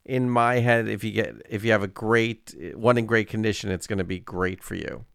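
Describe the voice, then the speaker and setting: A male podcast co-host with a gravelly, fry-edged voice, recorded dry and close. He chats in an easygoing, agreeable way, mostly backing up his partner. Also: lowish voice, fairly even intonation, moderate pace, fairly bright tone, no echo. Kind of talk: casual chat.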